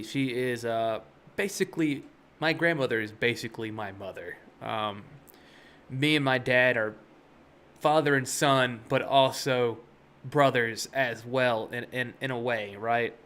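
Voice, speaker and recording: A man's voice: mid-range voice, a bit bright. Rambling young man on a live stream. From Miami, USA. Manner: rambling and chatty, full of fillers and asides. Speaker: day trading livestream host. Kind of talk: casual chat on a live stream.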